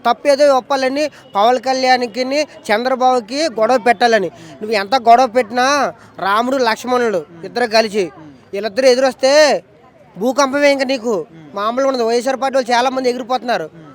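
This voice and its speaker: A man's voice: very high voice, a little fast. A man giving his opinion in an emphatic, talkative way in a street interview. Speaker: member of the public giving opinion.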